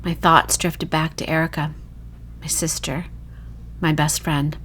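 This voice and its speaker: A woman reading a first-person horror short story in a dramatic, emotive, hushed storytelling manner. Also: low-pitched, little pitch movement, a little slow, a little hiss or hum.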